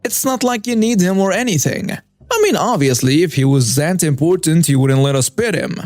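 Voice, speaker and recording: A man's voice: on the high side, lively intonation, normal speed, darkish tone, dry recording, natural voice. A man voicing an entitled mother who talks down to people in a sarcastic, condescending way.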